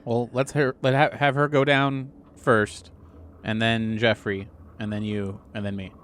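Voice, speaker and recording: A man's voice: medium pitch, level tone, a little slow, a bit bright, clear, resonant tone. A man playing a tabletop game, talking in an easygoing way with some stumbling restarts. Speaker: player. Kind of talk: casual roleplay chat.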